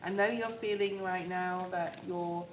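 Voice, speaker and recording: A soft-voiced woman giving a live tarot reading, talking in a chatty, reassuring way and often checking in with "okay?". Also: on the low side, steady tone, unhurried. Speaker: tarot reader. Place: outdoors in the rain. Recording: echoey, with loud rain noise. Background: rain noise.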